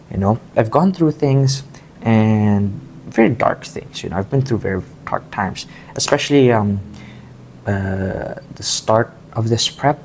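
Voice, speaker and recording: A man from Southeast Asia talking casually and earnestly in a reflective vlog, halting and repeating phrases as he goes. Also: on the low side, a little mellow, a little hiss or hum. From Southeast Asia, grew up in Thailand and the Philippines. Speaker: amateur bodybuilder vlogger. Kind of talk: casual confessional vlog talk.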